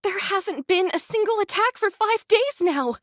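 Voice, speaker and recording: A woman's voice: extremely high pitch, lively intonation, moderate pace, fairly bright tone, no room sound, studio quality. An actress playing a gloomy, devout young android woman in a game drama, withdrawn, touchy and brooding. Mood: hurt.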